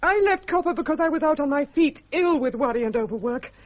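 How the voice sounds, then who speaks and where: An actress playing a proud, hurt wife, defensive and emotional, in a radio drama. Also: female voice, high-pitched, expressive, fast talker. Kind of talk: British radio drama acting. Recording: dull, lo-fi radio recording.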